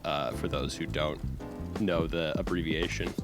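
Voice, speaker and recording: An American man from California speaking casually as an interview guest, halting and searching for words. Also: a bass voice, fairly even intonation, music in the background. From California, United States. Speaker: game designer, interview guest.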